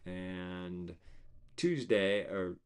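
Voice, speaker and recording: A man hosting a casual livestream, rambling with many fillers and restarts, his voice gone hoarse. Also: deep voice, very slow. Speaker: host of an online reading series.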